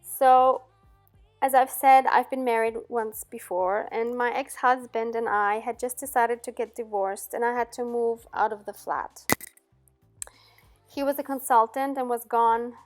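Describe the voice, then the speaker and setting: A middle-aged woman raised in Austria, answering viewer questions in a relaxed vlog with many "um"s and little laughs. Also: on the high side, a little slow, faint music behind. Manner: chatty, frequent "um" and soft laughs. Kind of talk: casual Q&A chat.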